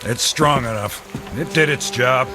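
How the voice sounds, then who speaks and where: An actor playing a hardened, callous soldier, speaking bluntly in a radio drama. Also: male voice, medium pitch, a little slow, music in the background, natural voice. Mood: dismissive, irritated.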